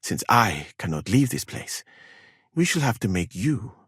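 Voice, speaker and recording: Casting: courteous, learned traveler-physician for a game; lowish husky voice, formal careful wording, expressive swings in pitch. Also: male voice, no room sound, clean studio sound, full character voice. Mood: calm, practical. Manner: measured, formal, careful phrasing. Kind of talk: video game character dialogue.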